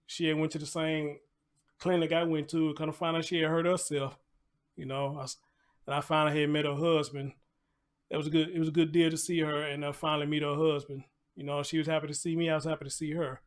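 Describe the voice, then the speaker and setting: A man's voice: fairly high pitch, flat delivery, brisk. An American man hosting a casual live commentary show, talking conversationally with preacher-like asides, repeated phrases and rhetorical questions.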